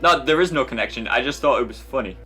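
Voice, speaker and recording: A man giving sarcastic, animated joking commentary over a film. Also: medium pitch, quick pace, faint music behind. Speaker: comedy riffer.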